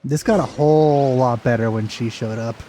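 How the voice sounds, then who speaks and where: A man's voice: mid-range voice, moderate pace, warm tone, slight background noise, poor audio. A man giving casual let's play commentary over a video game.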